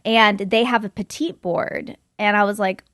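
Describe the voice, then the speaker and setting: A woman from Belgium chats as an interview guest in a casual, storytelling style. Her pitch sits in the middle range for a woman. The sound is dry, and her talk is informal and sprinkled with "like". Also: fairly even intonation, measured pace, a bit bright, no room sound. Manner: informal and chatty, frequent "like". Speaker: guest, model and entrepreneur.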